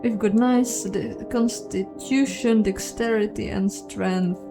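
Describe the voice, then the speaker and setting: An unhurried woman hosts a game playthrough in a playful, chatty way. She takes her time, thinking aloud over faint game music. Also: mid-range voice, fairly even intonation. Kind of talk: casual let's play commentary mixed with reading game dialogue aloud.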